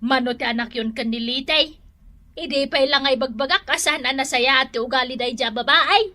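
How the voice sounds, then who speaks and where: A woman's voice: sits high, moderate pace, bright, forceful tone. A woman with an Ilocano accent playing a boastful, gossipy woman in a comedy radio drama, in an emphatic, exclamatory manner. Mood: teasing.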